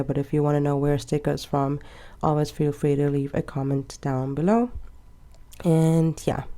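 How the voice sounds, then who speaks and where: A woman's voice: sits low, gravelly. A woman in her twenties chatting casually and rambling a little as she decorates her planner. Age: 20s. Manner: chatty and rambling, with soft laughs. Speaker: planner vlogger. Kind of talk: casual chat while planning.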